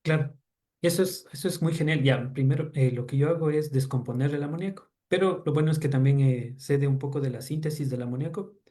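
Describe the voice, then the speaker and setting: A man's voice: on the high side, fast, mellow. A man from Ecuador with an Ecuadorian accent, a doctoral student answering questions at an academic seminar in an informal, explanatory way. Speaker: doctoral student presenting research.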